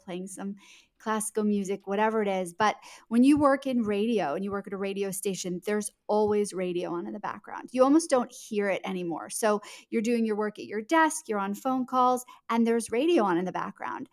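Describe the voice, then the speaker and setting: Woman author in conversational interview. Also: mid-range voice. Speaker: guest author.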